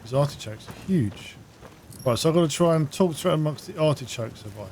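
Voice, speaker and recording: A man's voice: a little high, animated, fairly quick, darkish tone. Casual male gamer, noisy recording. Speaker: gamer playing through a quest. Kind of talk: casual gameplay commentary.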